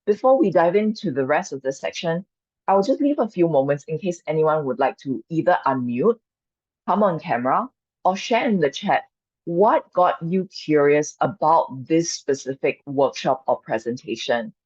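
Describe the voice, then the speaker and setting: Gentle woman on a dull video call. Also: sits low, lively intonation, normal speed, breathy edge, no echo. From Singapore. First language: Chinese. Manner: gentle, inviting, reassuring. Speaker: mindfulness and qigong coach. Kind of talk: guiding an online workshop.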